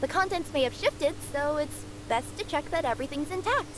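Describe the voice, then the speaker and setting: A woman's voice: high-pitched, expressive, brisk. A woman voicing a young, polite, easily flustered cat-spirit courier girl in a video game, earnest and prone to stammering. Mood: polite, eager. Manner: earnest, stammering when nervous. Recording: game capture with clear background noise.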